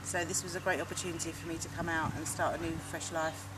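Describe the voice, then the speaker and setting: A woman of thirty-eight from London, answering slowly with many hesitations. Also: low-pitched, little pitch movement. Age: 30s. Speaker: interviewee. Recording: distant mic with loud background noise.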